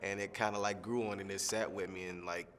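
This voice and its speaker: Deep-voiced, flat-toned young man. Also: brisk, in a large hall, slight background noise. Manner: relaxed, rambling, many fillers. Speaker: singing contestant. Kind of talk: press junket soundbite interview.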